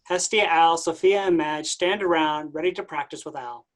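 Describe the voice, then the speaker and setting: A woman's voice: deep voice, normal speed. A woman reading stage directions as scripted narration for a play.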